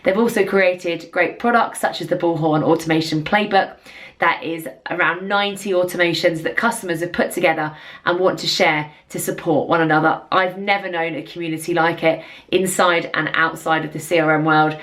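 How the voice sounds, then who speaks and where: A woman's voice: on the low side, fairly even intonation, brisk, fairly bright tone. A woman consultant presenting a semi-scripted review in an earnest, emphatic way. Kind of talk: semi-scripted explainer to camera.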